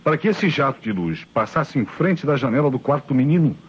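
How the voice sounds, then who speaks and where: A Brazilian actor playing a methodical, skeptical police inspector in an old radio mystery, probing and reasoning aloud with authority. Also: male voice, medium pitch, lively intonation, brisk, slightly rough. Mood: skeptical, firm. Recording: muffled old radio recording.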